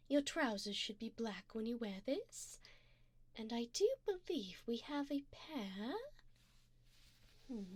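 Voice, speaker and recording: A woman plays an elegant, wealthy vampire lady who dotes on and quietly owns her listener. Her voice is fairly high, soft and breathy, often sinking toward a whisper. She takes her time over each formal, coaxing phrase, gently commanding in an intimate ASMR roleplay, very dry and close to the ear. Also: dry recording, heavily acted. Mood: doting, attentive. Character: wealthy, doting, possessive female vampire sugar mommy. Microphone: binaural 3Dio microphone, close up.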